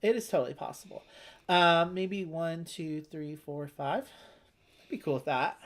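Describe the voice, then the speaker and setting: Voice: mid-range voice, unhurried. A person chatting casually over a board game, talking through options and thinking aloud as co-host. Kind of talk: casual chat while playing a board game.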